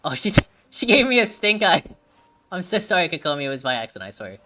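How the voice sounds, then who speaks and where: A woman's voice: lowish voice, big pitch swings, fast, sharp tone. A woman giving casual, sarcastic gameplay commentary with frequent swearing. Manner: swearing, sarcastic, laughing asides. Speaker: gameplay streamer.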